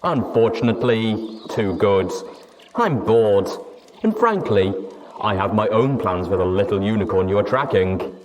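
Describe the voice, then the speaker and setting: A man voicing an amiable cyborg pony villain for a story reading, conversational and calmly taunting. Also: lowish voice, measured pace, dull audio, background noise. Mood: smugly amiable. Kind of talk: dramatic audiobook character reading.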